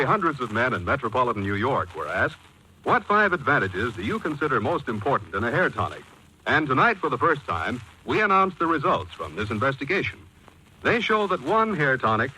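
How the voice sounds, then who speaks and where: A man's voice: mid-range voice, bright, forceful tone, a little hiss or hum, poor audio. An American man reading sponsor copy as a 1940s radio announcer, formal and emphatic. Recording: 1940s radio broadcast recording.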